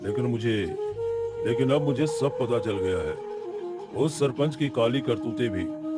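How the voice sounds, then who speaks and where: A man voicing an earnest, troubled villager who asks questions and promises help in an animated story. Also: mid-range voice, a little slow, over loud music, natural voice. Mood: concerned. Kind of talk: Hindi animated moral story acting.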